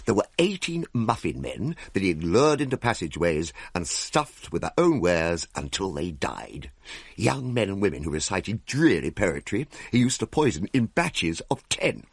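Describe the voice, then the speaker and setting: Radio play: courteous British Victorian nephew, male actor, husky and highly expressive, easily flustered, prone to apologetic stammering. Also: normal speed, heavily acted. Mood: wry storytelling. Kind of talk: comic radio drama acting and narration.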